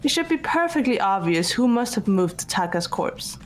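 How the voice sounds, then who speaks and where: A woman in a fan-voiced game playthrough, playing a composed, analytical student who reasons in a measured, matter-of-fact way. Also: medium pitch, sing-song, close to an everyday voice. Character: composed, analytical student reasoning coolly through a murder case. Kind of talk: scripted game dialogue read aloud by fans. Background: background music.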